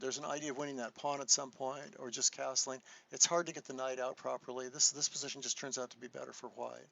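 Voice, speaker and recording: A man teaching a live online chess lesson, soft-spoken and thinking aloud as he walks through moves, with frequent restarts. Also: medium pitch, vocal fry, lo-fi sound. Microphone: desktop microphone.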